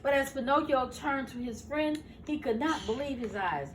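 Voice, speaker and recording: A woman's voice: high voice, animated, normal speed. An older woman, a grandmother, reads a bedtime storybook expressively to children, stumbling now and then and correcting herself. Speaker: grandmother reading to her grandchildren. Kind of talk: animated bedtime storybook reading. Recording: roomy, noisy home recording, far from mic.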